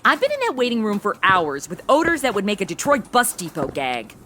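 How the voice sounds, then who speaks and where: A woman's voice: mid-range voice, huge pitch swings, brisk, sharp tone, slight background noise. An actress playing a brash, self-absorbed, sassy modern American woman in an audio comedy, blunt and flippant. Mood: flippant, impatient. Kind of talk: comedy audio drama acting.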